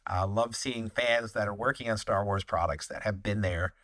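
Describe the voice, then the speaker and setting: A man hosts a fan interview and asks his questions in an upbeat, friendly way. His voice sits fairly low. The sound is dry and close, with no room echo. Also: normal speed, a bit bright. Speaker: podcast host, interviewer. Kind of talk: casual interview.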